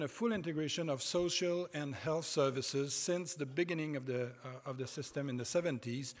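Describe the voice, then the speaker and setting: A middle-aged French Canadian man speaks at a podium microphone, his voice pitched a little high for a man. He lectures haltingly, with many pauses and restarts. Long reverb from the large conference hall trails his words. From Quebec, Canada.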